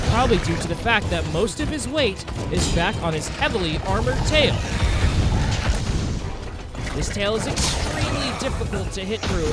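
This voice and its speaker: A man narrating video game footage in a dramatic, documentary-like storytelling style with formal, measured wording. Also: high voice. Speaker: game streamer narrating own hunt. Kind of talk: scripted-sounding nature documentary style game commentary. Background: game music under the voice.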